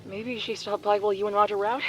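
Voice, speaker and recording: A woman's voice: mid-range voice, steady tone, fast talker, lo-fi sound, lightly acted. An actress playing an anxious, hesitant woman in an audio drama, speaking in a natural everyday way. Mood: worried. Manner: worried, hesitant. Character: anxious, polite woman searching for someone.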